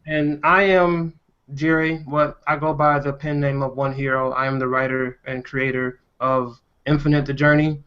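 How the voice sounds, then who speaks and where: A man's voice: fairly high pitch, flat delivery, normal speed, a bit bright, clear, resonant tone. A man hosting a casual panel discussion, talking in an earnest and playful way. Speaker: host and indie comic writer. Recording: dull, muffled lo-fi audio.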